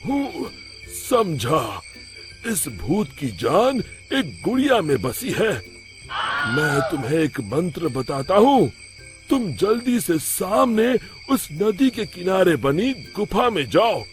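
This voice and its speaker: A man voicing a stern, protective hermit sage in a cartoon moral story, commanding and deliberate. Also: high voice, sing-song, faint music behind, pitched above the performer's own voice, heavily acted. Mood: solemn, instructing.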